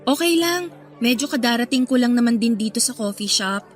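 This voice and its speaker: A woman's voice: on the high side, expressive, a little fast, close to an everyday voice. A woman playing a reserved, self-deprecating young woman in a radio drama, hesitant and confiding. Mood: shy, friendly. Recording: music behind the voice.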